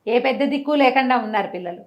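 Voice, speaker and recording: A woman storyteller speaks very quickly in a fairly high voice. She tells a moral tale like a conversation, slipping into dialogue and turning to the listener to drive the lesson home. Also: dull audio. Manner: animated, didactic, acts out dialogue with rhetorical questions.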